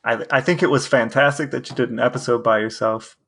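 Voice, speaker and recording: A man co-hosting a podcast in casual banter, emphatic but halting, often repeating himself. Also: medium pitch, steady tone, gravelly, a bit bright, husky. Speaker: podcast co-host.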